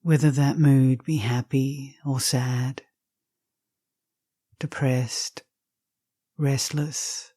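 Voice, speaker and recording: A woman leading a guided meditation, speaking gently and softly in unhurried phrases with long pauses, with some breathiness. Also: rumbling low, fairly even intonation, dry recording, studio quality. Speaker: meditation teacher.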